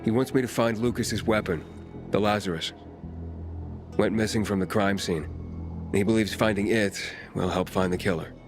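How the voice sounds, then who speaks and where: Game casting: a man plays a gruff investigating loner, fairly low voice, matter-of-fact and insistent. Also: fairly even intonation, normal speed, music in the background, natural voice. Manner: direct, pressing, matter-of-fact. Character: determined, gruff young male outsider on an investigation. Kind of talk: video game cutscene acting.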